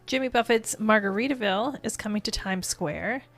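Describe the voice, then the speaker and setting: A woman's voice: medium pitch, a little fast, a bit bright, soft background music. A woman co-hosting a podcast in a casual, chatty style. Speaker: podcast co-host. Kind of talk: casual chat.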